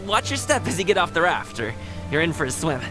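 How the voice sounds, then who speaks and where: A woman voicing a friendly, energetic and chatty young female guard in a video game, with a casual, considerate way of talking. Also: fairly low pitch, quick pace. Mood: chatty, cheerful. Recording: game music underneath.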